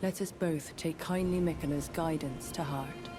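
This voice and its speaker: A woman playing a devoted, composed warrior in a fantasy game, speaking in a measured, formal and earnest manner. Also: deep voice, music in the background, close to an everyday voice. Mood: earnest, courteous. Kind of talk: dramatic fantasy game dialogue.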